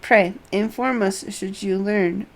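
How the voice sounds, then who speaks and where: Earnest young fantasy nobleman read by a woman, courteous, archaic, unhurried. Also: medium pitch, fairly even intonation, creaky. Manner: formal, archaic diction.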